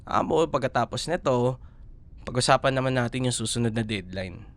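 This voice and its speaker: Casting: male actor for a young Filipino boyfriend in an audio roleplay; playful, nagging yet affectionate student, very animated intonation, medium pitch. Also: moderate pace, natural voice. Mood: teasing, tired. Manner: teasing, coaxing, expressive. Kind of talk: ASMR boyfriend roleplay, casual Tagalog banter.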